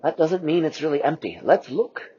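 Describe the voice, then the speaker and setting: A bookish cartoon scientist, played by a man, explains in a raised, amazed tone. The voice is husky and animated. The recording sounds lo-fi. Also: fairly high pitch, moderate pace, pitched above the performer's own voice. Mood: astonished. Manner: astonished explaining. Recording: lo-fi, dull.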